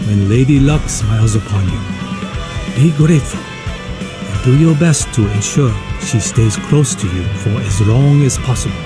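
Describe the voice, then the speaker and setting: An actor playing a grave storyteller, narrating karate rivalries in a solemn, measured, dramatic scripted style for a video game. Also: male voice, medium pitch, over music, close to an everyday voice.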